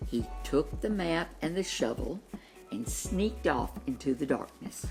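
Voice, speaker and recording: Female children's storyteller, expressive scripted narration, slightly low register, highly varied intonation. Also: unhurried, faint music behind, rough recording.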